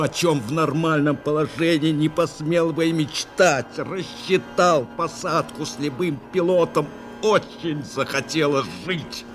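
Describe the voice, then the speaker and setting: An actor playing an anxious, dutiful bomber navigator in a radio play, with earnest, intense delivery. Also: male voice, mid-range voice, natural voice. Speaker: actor in an audio drama. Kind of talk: Soviet radio play acting, dramatic narration and dialogue.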